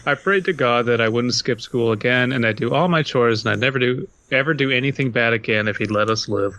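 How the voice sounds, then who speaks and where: A man's voice: mid-range voice, fairly quick, sharp tone, poor audio. A man co-hosting a podcast, reading a story aloud and adding joking remarks. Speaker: podcast co-host. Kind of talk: story reading and casual banter. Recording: faint background noise with clicks.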